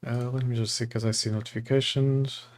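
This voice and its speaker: A man from Portugal hosting a live study stream, chatting casually with viewers and reading course text aloud with a relaxed, chatty manner. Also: mid-range voice, fairly quick. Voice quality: relaxed, chatty, often laughing, greets chat by name.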